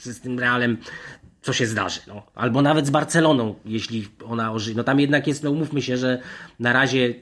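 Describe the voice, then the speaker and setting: A man's voice: medium pitch, brisk, a bit bright. A man talking casually as a football pundit in a podcast, rambling, repeating words and restarting his sentences.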